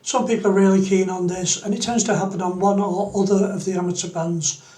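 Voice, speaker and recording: A man's voice: high voice, fairly even intonation, brisk, warm tone, reverberant. A man giving an unscripted screen-demo tutorial, explaining things step by step. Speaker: tutorial presenter.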